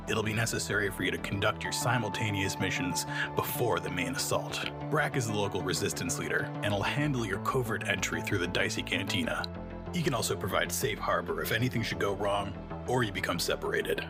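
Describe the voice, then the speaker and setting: A man playing a formal, commanding lieutenant giving a measured mission briefing in a sci-fi audio drama. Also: lowish voice, over music, natural voice. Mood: formal, grave.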